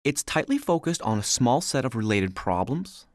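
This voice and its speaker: A man delivering scripted instructional narration as a voice-over, explaining clearly and stressing key words. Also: medium pitch, big pitch swings, moderate pace, dry recording.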